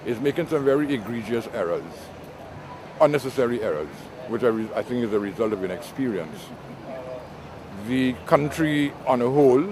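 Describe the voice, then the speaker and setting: An older man with a Trinidadian accent speaks in a slow, deliberate, measured way, weighing his points like a seasoned commentator. His voice sits in a middle range. Street noise and passing traffic are clearly heard behind him. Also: mid-range voice. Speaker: interview guest. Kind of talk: political commentary in an interview.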